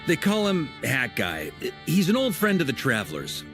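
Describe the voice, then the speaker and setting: Earnest, animated man. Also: a little high, moderate pace, over music. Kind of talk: video game voice acting.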